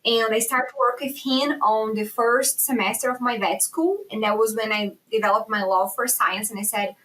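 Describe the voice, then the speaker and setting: A woman's voice: a little high, steady tone, moderate pace, a bit bright, low-quality recording. A woman from Brazil in her thirties, with a Brazilian Portuguese accent, speaking as a guest on a podcast in a chatty, easygoing way with frequent restarts. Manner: chatty, laughs easily, with hesitant restarts. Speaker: guest researcher. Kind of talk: casual interview conversation.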